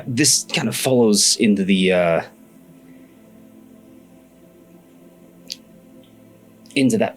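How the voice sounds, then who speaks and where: A man voicing a hesitant, secretive scholar in a tabletop game, halting and hedging with a folksy manner. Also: lowish voice, natural voice, rough recording. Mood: uneasy, hedging. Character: hesitant, secretive male scholar keeping family work.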